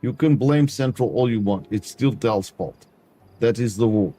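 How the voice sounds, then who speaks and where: A man's voice: medium pitch, normal speed, mellow, slight background noise. A man commentating a building game to his chat in a put-on broken-English dictator voice, dropping articles. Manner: talks to chat in a mock Eastern European dictator voice, dropping articles. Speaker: game streamer. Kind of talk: casual gameplay commentary.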